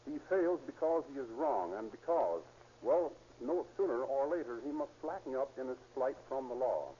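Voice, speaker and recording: A man's voice: medium pitch, animated, normal speed, dark tone. Formal man on muffled 1930s radio. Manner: formal, earnest. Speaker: police captain, guest speaker. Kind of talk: scripted 1930s radio moral address. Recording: dull, noisy 1930s radio recording.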